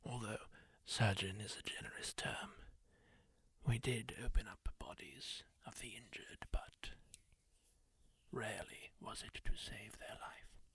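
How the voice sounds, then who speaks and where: A man doing a whispered roleplay, voicing a kindly former war surgeon who soothingly tends a wounded stranger, gentle and reassuring up close to the mic. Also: lowish voice, creaky, husky, no room sound, exaggerated voice. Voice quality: soft whisper, slow and soothing. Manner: gentle, reassuring, asks permission. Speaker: ASMR roleplayer.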